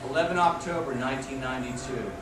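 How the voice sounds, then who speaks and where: A man reading poetry aloud as a poet, declaiming in short, deliberate phrases. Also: mid-range voice. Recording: distant echoey room recording with noise.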